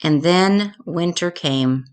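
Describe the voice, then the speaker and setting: A woman reading a children's storybook aloud expressively, with dramatic pauses. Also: on the low side, darkish tone.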